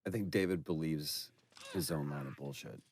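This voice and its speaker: A man's voice: a bass voice, moderate pace, a little mellow, slight background noise. A man giving casual, reflective commentary as a game actor.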